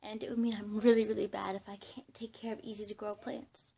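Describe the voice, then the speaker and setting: A woman's voice: mid-range voice, little pitch movement, quick pace, vocal fry, warm tone. A young woman chatting casually to camera as a vlogger, in a scattered, giggly way, often interrupting herself. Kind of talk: casual rambling chat to camera.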